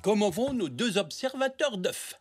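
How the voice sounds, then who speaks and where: A man playing a kindly, curious old grandfather zebra in a French cartoon dub, with expressive, drawn-out delivery. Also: high voice, over music. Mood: cheerful, warm.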